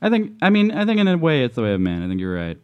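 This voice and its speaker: A man's voice: a little high, huge pitch swings, rapid-fire, clean tone, highs cut off. A man co-hosting a radio show, joking and wisecracking in casual banter.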